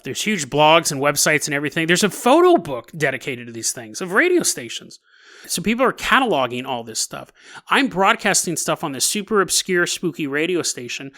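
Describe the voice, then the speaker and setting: High-pitched, bright-voiced man podcasting. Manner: jokey, self-amused, chuckles through his points. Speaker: podcast host. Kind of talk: casual solo storytelling about conspiracy theories.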